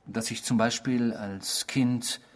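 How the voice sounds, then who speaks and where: A man's voice: medium pitch, fairly even intonation, takes it slowly. An actor in a German radio drama playing an intrusive, eerie man who philosophizes and confesses with pompous, formal politeness. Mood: confessional, eerie.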